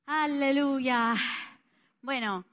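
A woman's voice: high voice, very slow, fairly bright tone. A middle-aged woman from Spain with an Italian tinge to her accent, addressing a congregation informally and jokingly as a visiting pastor. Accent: Spanish with an Italian tinge. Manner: joking, chatty.

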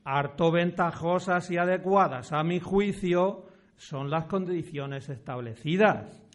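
Man playing a slow-speaking, high-voiced village priest. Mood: explaining, formal. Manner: deliberate, formal, old-fashioned speech. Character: courteous, authoritative village parish priest of the 1700s. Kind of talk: amateur historical radio drama acting.

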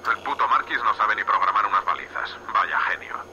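A man with an accent from Spain playing a gruff, impatient, scornful spaceship captain in a sci-fi audio drama. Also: lowish voice, fairly quick, pushed and bright, muffled recording, a little hiss or hum. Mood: dismissive, irritated. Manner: curt, irritable, scornful. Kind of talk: Spanish-language sci-fi audio drama acting.

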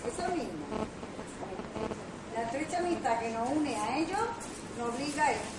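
Formal, prim lady female actor, high voice, amateur radio play, echoey noisy recording. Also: expressive, moderate pace. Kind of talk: amateur radio comedy acting. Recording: distant, echoey, noisy room.

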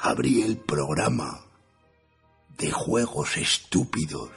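Man playing sardonic male writer, radio play narration, slow, swinging intonation, rough husky voice, deadpan. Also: deep voice, faint music behind, full character voice. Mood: deadpan, weary. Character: jaded, sardonic male writer narrating his idle days. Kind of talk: first-person radio theatre narration.

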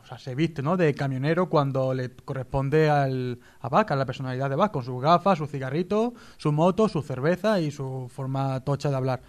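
A man with a Spain accent casually reviewing a TV series as a radio presenter. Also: medium pitch.

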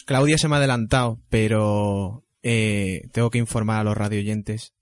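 A man's voice: lowish voice, fairly even intonation, normal speed, no room sound, studio quality. A man with a Cádiz Andalusian accent, bantering casually on radio with a playful lisping ceceo.

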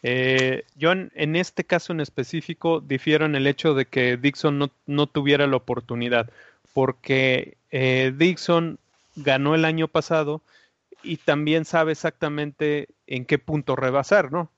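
A man analyzing a race in a casual chat, speaking in a measured way, often pausing with fillers. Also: fairly high pitch, fairly even intonation, smooth, no room sound. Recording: dry, dull lo-fi sound.

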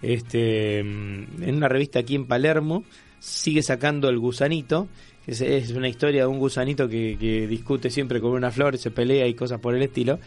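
A man's voice: medium pitch, fairly even intonation, normal speed, darkish tone. A man with a Rioplatense Argentine accent, chatting casually as a radio magazine host. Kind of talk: casual magazine-show chat. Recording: music playing under the voice. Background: background music.

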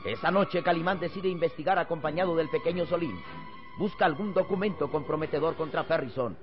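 A man's voice: fairly high pitch, a little fast. A man narrating an old radio serial in a dramatic, emphatic, theatrical style. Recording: dull lo-fi old radio, loud music.

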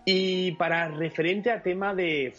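A man's voice: high-pitched, fairly even intonation, unhurried, fairly bright tone, dull audio, soft background music. A man with a Madrid Spanish accent answering interview questions in a conversational way as an entrepreneur guest. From Madrid, Spain.

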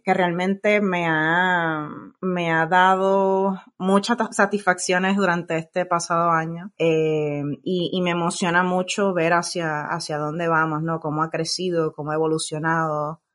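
A Puerto Rican woman with a Puerto Rican Spanish accent, a science communicator speaking as an interview guest, thoughtful and often pausing with fillers and restarts. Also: lowish voice, little pitch movement, fairly bright tone. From Puerto Rico. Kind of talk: casual interview conversation.

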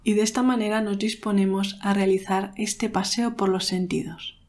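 A woman guides a meditation at a medium pitch. She speaks calmly and without hurry, leaving pauses between short phrases in a soothing manner. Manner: calm, unhurried, soothing, with pauses. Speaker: meditation guide, podcast host.

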